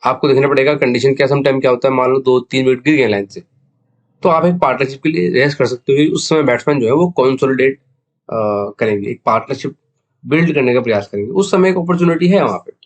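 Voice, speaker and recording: An Indian man talks as the host of an explainer video. His pitch sits in the middle range, and his throat is sore. He explains in a conversational way, switching between two languages. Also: quick pace. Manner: conversational Hindi-English code-mixing, explanatory. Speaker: video host, cricket betting tipster. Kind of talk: casual explainer monologue.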